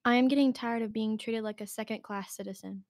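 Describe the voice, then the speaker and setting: A woman's voice: on the high side, fairly even intonation, fairly quick, a little mellow, dry recording, natural voice. A woman playing an earnest, determined teenage college boy in an amateur radio drama. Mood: fed up.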